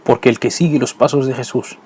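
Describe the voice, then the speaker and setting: A man who is not a native Spanish speaker, hosting and narrating a scripted religious lesson in a measured, didactic way. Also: medium pitch, fairly even intonation, darkish tone, a little hiss or hum. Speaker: host.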